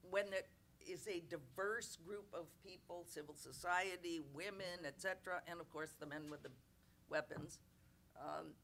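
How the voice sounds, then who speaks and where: Forceful, bright-toned woman; blunt and emphatic, with a quick self-mocking aside now and then; press conference. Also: fairly low pitch, slight background noise. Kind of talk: unscripted press conference remarks.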